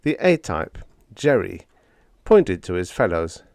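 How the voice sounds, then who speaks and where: A man's voice: sits low, sing-song, takes it slowly, vocal fry, darkish tone, no room sound, studio quality. A man reading a story aloud as an audiobook narrator, in a dramatic scripted style.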